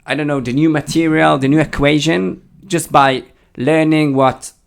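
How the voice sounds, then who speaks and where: Creaky-voiced man with a slightly British-tinged Israeli accent. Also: a little high, fairly even intonation, normal speed. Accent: Hebrew-accented English with a slight British tinge. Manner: thoughtful, hesitant, restarts often. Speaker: podcast co-host. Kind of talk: casual discussion.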